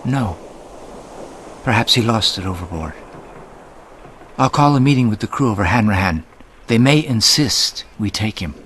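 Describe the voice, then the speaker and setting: An actor playing a ruthless, smooth-scheming ship's mate in a period radio mystery drama. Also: male voice, fairly low pitch, expressive, moderate pace, long pauses, fairly bright tone, slight background noise. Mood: firm, insistent.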